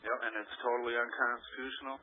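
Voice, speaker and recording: A man's voice: mid-range voice, flat delivery, fast. An American man calling in to a radio show with casual political comment over a muffled phone line. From Minnesota, United States.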